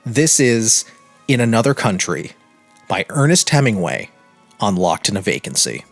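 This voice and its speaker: An American man hosting a podcast, speaking in an earnest, emphatic way with deliberate phrasing. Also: fairly low pitch, sing-song. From United States. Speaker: podcast host and narrator. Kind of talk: podcast introduction and personal commentary. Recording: music behind the voice. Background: background music.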